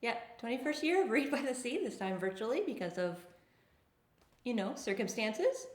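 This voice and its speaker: A woman's voice: on the high side, very animated, moderate pace. A woman hosting a writers' open mic, giving casual feedback and remarks to the group. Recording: echoey, roomy sound.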